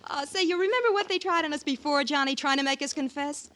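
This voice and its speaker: An actress playing a scornful, hard-boiled murderous wife, taunting and sardonic, in 1950s radio crime drama. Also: female voice, sits high, fast talker, crowd noise, natural voice. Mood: mocking.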